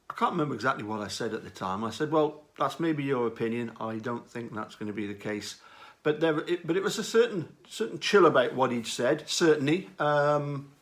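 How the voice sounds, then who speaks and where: A man giving unscripted commentary on a true-crime case, speaking in a halting, deliberate way with frequent pauses and repeated words. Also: medium pitch.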